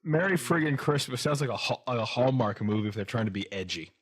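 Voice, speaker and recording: A man's voice: medium pitch, lively intonation, fast, slightly rough. A man on a casual movie podcast, tossing in dry, sarcastic one-liners. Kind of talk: casual movie-review banter.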